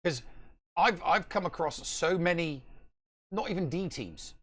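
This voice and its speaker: A man's voice: on the high side, animated, measured pace, fairly bright tone. A middle-aged man co-hosting a casual live gaming podcast from home on a video call, talking emphatically and repeating himself for stress. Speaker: podcast co-host.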